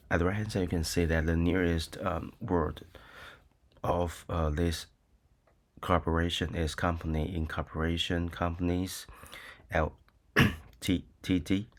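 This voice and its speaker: Slow, deep-voiced Taiwanese male lecturer. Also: level tone. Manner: hesitant, many ums and uhs, frequent "okay?" checks. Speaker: university lecturer. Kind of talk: recorded lecture with screen demo.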